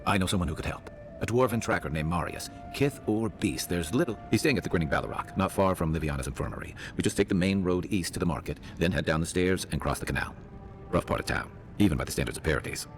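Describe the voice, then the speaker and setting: An actor playing a dry, streetwise adventuring companion in a fantasy video game, wry and plain-spoken. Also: male voice, deep voice, lively intonation, fast, soft background music. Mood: wry, helpful.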